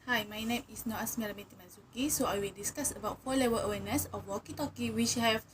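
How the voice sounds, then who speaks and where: Fast-talking young woman, slightly noisy recording. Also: fairly high pitch. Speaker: student presenter. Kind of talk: scripted project presentation. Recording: faint steady background noise.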